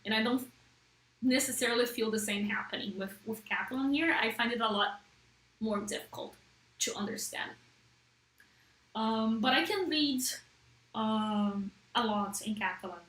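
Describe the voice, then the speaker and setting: A chatty woman, a native Portuguese speaker, talks in English to her live-stream viewers with a fairly high voice. She keeps checking in with a quick "right?". The room gives her voice a roomy echo. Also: fairly bright tone. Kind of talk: casual live-stream chat.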